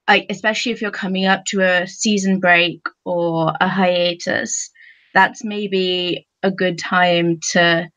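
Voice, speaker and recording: Woman, casual panelist, slow and halting delivery, slightly dull sound. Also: medium pitch, a bit bright, no echo. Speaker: podcast panelist.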